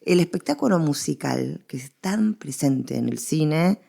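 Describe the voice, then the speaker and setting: A woman's voice: sits low, animated, unhurried, gravelly, warm tone. A woman with an Argentine accent explaining her subject in a conversational interview, stressing points with repetition and examples. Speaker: interviewed researcher of radio history.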